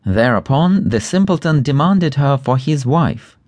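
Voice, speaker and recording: Male audiobook narrator for fairy tales, lively intonation, dry studio sound. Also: mid-range voice, a little slow, dry recording. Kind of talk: scripted fairy tale narration.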